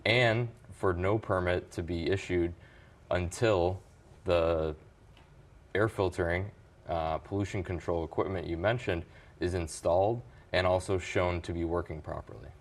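A man from an environmental group, answering interview questions in a measured, explanatory way. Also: sits low. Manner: measured, explanatory, occasional restarts. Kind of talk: news interview guest.

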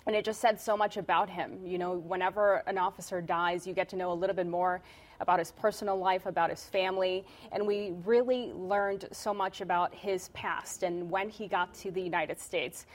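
A woman's voice: lowish voice, fairly even intonation, moderate pace. A woman from Colombia who works as a TV journalist, speaking earnestly on a news panel. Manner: earnest, heartfelt. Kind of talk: panel discussion.

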